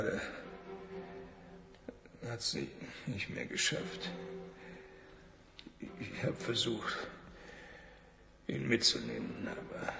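A weak, creaky-voiced injured worker. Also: male voice, on the low side, roomy sound, heavily acted. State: weak, halting, breathless. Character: injured exhausted male tunnel worker, shaken. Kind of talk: radio play acting.

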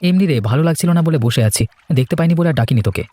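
A man's voice: a little high, animated, rapid-fire, warm tone, no room sound, natural voice. A man playing a worried, dutiful young married son in a cartoon, speaking earnestly and reassuringly. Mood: downcast. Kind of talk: cartoon voice acting, domestic moral story.

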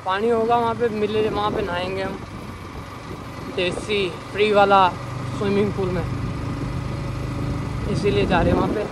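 A man's voice: sits high, level tone, normal speed, pauses often. Young man vlogging from a motorbike. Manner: chatty, informal, addresses viewers directly. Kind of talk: casual travel vlog narration while riding. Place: outdoors on a moving motorbike on a hill road. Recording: loud wind and road noise.

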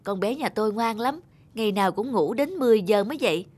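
A woman's voice: fairly high pitch, lively intonation, quick pace, a little mellow, husky, no room sound. A woman voicing a young, doting and anxious mother in a cartoon. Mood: casual, unworried. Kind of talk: cartoon voice acting.

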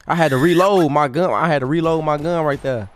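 Boastful high-pitched man streaming over game noise. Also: a little fast. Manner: boastful, slangy, profane. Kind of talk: casual excited gaming commentary in roleplay.

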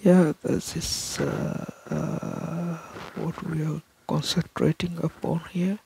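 A middle-aged man who is not a native English speaker, rambling slowly off camera and repeating words over and over as he walks through his screen and apartment on a phone camera. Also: fairly high pitch, level tone, gravelly, dark tone, breathy edge. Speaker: channel host. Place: apartment room with a window view. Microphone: phone camera held off-camera.

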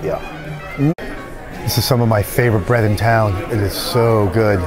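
A man's voice: on the low side, little pitch movement, moderate pace, over music. A man in his fifties filming himself eating at a restaurant, chatting and joking about each dish. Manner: enthusiastic, jokey commentary while eating. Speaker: food vlogger. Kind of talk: casual dining vlog. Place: fine-dining restaurant indoors. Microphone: phone at arm's length.

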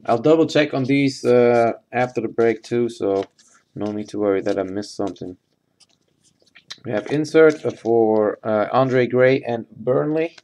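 A man giving casual, halting live commentary as he hosts a sports card box break, matter-of-fact in manner. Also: medium pitch, darkish tone. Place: indoors at a tabletop.

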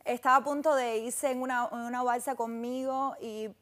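A young adult woman with a Cuban accent talks in a fairly high voice. She tells stories as an interview guest, informal and halting, dropping in filler phrases. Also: steady tone, a bit bright. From Cuba. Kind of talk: casual personal storytelling. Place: television studio set with sofas.

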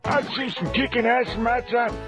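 Very high, bossy paint pot. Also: male voice, moderate pace, over music. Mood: scornful. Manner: goading, scornful. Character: bossy, jeering, foul-mouthed male paint pot. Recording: dull lo-fi game audio.

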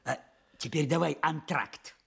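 A man's voice: on the high side, measured pace, vocal fry, background noise. An actor playing a cheeky young village man in a radio play, teasing and mocking with folksy banter. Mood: jovial, boastful. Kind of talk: Soviet radio play acting.

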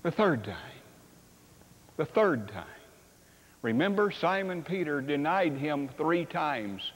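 Older man, pastor, animated sermon, echoing sanctuary. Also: fairly high pitch, slow. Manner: animated, acts out dialogue with sweeping gestures. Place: large church sanctuary stage. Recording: long reverb of a large hall.

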